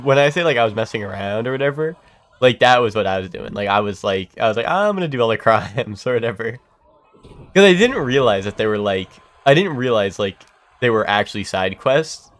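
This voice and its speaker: A man's voice: mid-range voice, fairly bright tone. A young man streaming a game and chatting casually, congested from a cold, rambling with lots of fillers and restarts and casual swearing. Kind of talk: casual chat while gaming.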